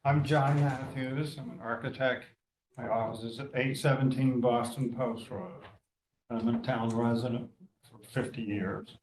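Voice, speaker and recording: A man's voice: mid-range voice, fairly even intonation, darkish tone. An architect in his eighties with a scratchy voice, presenting plans step by step at a public hearing. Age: 80s. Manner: plain, measured, walks through details step by step. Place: town meeting room. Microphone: handheld microphone. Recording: echoey meeting room.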